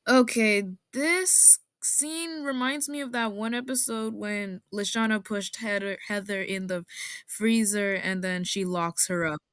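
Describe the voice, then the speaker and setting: A woman giving blunt, offhand commentary, speaking slowly. Her tone is bright and sharp, recorded dry and close. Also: medium pitch, fairly even intonation, no echo. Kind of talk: casual reaction commentary.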